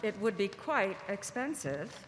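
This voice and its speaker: A woman's voice: medium pitch, very animated, measured pace. A woman acting a determined, outspoken, testy research doctor in a live audio drama. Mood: brisk, dry. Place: live stage performance in a large hall. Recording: echoey live hall, background noise.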